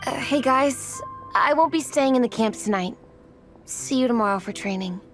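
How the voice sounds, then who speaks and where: A fairly high teenage girl's voice acted by a woman in a game dub. She is earnest and a touch defensive, with music behind her. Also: moderate pace, lightly acted. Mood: resigned. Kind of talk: English game dub cutscene acting.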